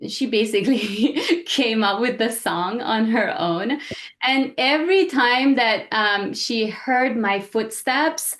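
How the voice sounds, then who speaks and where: Session leader: woman from Iran, slightly high voice, conversational, reflective, pauses often. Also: a bit bright, slight background noise. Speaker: speaker leading a group session. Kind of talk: conversational talk to a group.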